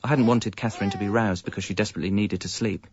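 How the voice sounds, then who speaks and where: A man's voice: fairly low pitch, fairly even intonation, rapid-fire, a little mellow, dull audio, lightly acted. A British man acting as a weary, frustrated, put-upon father in a radio comedy, with wry, self-pitying delivery. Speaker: narrator and lead actor. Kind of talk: radio comedy monologue and dialogue.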